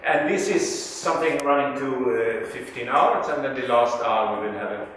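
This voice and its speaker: A man hosting a seminar, introducing a speaker informally in halting, loosely phrased English. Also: mid-range voice. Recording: very echoey hall, loud music.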